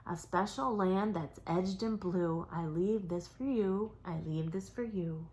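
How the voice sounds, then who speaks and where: A woman voicing a kind, wise old giant turtle in a storybook, speaking slow, solemn, lilting verse. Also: on the low side, a little mellow, lightly acted, rough recording. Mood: tender farewell.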